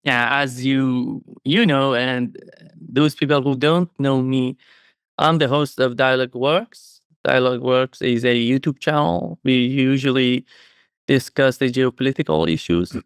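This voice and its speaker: A man's voice: medium pitch, unhurried, smooth, no room sound, studio quality. A man from Iran, a guest on a video call interview, speaking casually with many fillers and restarts. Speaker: host of another channel, guest.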